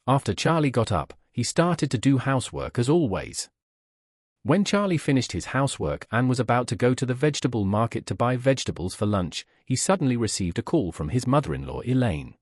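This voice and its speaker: A man reading a story aloud as scripted narration, with a husky voice. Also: medium pitch, a little mellow, no echo, clean studio sound. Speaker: audiobook narrator.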